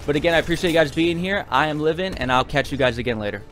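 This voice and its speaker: A man's voice: fairly high pitch, lively intonation, very fast, a bit bright. A man giving casual video game commentary in a rambling, hesitant way, often repeating himself.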